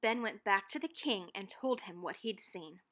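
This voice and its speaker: A woman reading a children's picture book aloud expressively, with dramatic, deliberate emphasis on key phrases. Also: mid-range voice, expressive, fairly bright tone. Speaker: read-aloud narrator.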